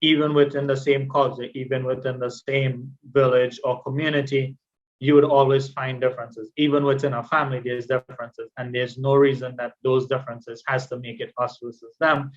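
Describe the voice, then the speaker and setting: Male presenter, conversational and explanatory, fairly even pitch, slightly muffled lo-fi recording. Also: moderate pace. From Trinidad. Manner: explanatory, conversational, poses questions then answers them. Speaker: guest speaker, mental health presenter. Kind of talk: educational presentation with personal anecdotes.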